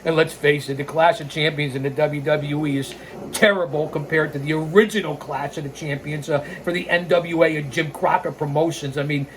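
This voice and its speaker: A host of a wrestling storytelling show speaks in a mid-pitched voice over audible background noise. The delivery moves between scripted narration and informal commentary. The manner is opinionated, blunt and sarcastic, with profanity in the asides. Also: steady tone, a little fast, rough recording. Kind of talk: reading a scripted biography with ranting, informal asides.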